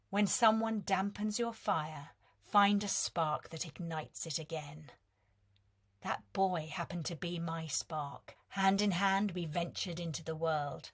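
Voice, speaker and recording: A woman reading a prose letter aloud in a measured, expressive storytelling manner. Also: on the low side. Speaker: reader of a competition entry.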